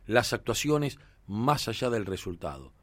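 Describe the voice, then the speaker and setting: A man with an Argentine Rioplatense accent hosts a sports radio show from the studio. His voice sits on the low side and comes through dry and close on a studio microphone. He talks conversationally and fills his pauses with "eh" and "este". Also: big pitch swings, a bit bright, dry recording. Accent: Argentine Rioplatense Spanish. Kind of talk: sports radio talk and commentary.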